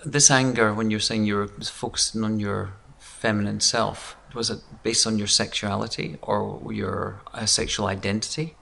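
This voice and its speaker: Brief: male podcast host, gravelly voice with vocal fry, short probing questions. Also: on the low side, little pitch movement, normal speed, few pauses, bright, forceful tone. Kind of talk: interview.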